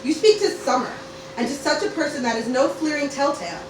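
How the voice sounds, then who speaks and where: Woman as high-voiced bold teenage girl, echoey. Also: fairly quick, lightly acted. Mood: resolute. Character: bold, loyal teenage cartoon granddaughter conspirator. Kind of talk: mock-Shakespearean parody radio play read aloud. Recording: roomy, distant, noisy amateur group recording.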